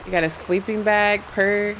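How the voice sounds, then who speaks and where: A woman streaming a game live, expressive and reacting out loud, with some swearing. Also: medium pitch, normal speed, a little hiss or hum, poor audio. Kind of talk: live gameplay commentary.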